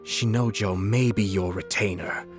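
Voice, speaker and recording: An actor playing a courteous, quietly authoritative young noble commissioner, speaking in a formal, measured way in a video game. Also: male voice, on the low side, steady tone, soft background music, lightly acted. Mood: coolly challenging.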